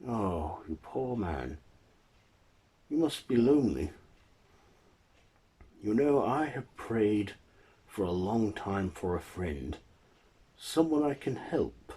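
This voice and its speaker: A man voicing a gentle, lonely old blind grandfather with a kindly, earnest way of talking. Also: on the low side, big pitch swings, slow pace, long pauses, slightly rough, exaggerated voice.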